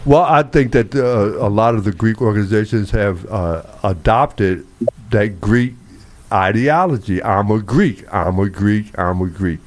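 A man's voice: fairly low pitch, lively intonation, a little slow. A man hosting a call-in radio panel, speaking bluntly and provocatively with hesitant restarts. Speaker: radio show host.

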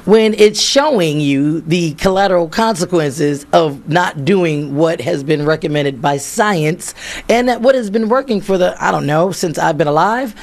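A man with a raspy voice, a little hoarse, hosting morning talk radio as a fill-in and reading out news stories. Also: fairly high pitch, a little slow. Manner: animated, laughs often. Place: radio studio.

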